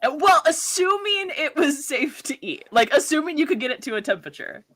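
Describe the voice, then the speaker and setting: A woman chatting on a stream, throwing in joking, deadpan quips. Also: high-pitched, brisk. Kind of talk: casual chat on a stream.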